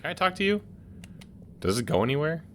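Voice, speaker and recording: A man's voice: on the high side, very animated, brisk, a bit bright, a little hiss or hum. A man hosting a casual gameplay video, musing aloud and chatting about what he sees. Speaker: gaming video host. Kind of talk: casual let's play commentary.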